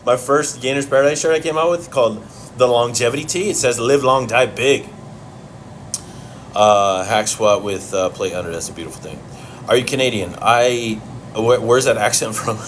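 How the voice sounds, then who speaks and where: A man's voice: medium pitch, fairly bright tone, slight background noise. A man from Chicago hosting a casual livestream Q and A from home, blunt and profane, answering questions while he eats. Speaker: fitness livestream host.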